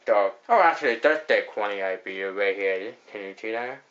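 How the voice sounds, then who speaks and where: A man's voice: lowish voice, expressive, brisk, a bit bright, muffled recording. A young man hosting a casual beer review at a table in a small room, rambling with lots of fillers and repeating "actually". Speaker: host of a beer review show.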